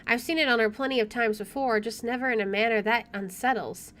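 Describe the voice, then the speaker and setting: A woman's voice: a little high, brisk, fairly bright tone. A woman let's player reading a visual novel aloud expressively with chatty asides, game music playing behind her.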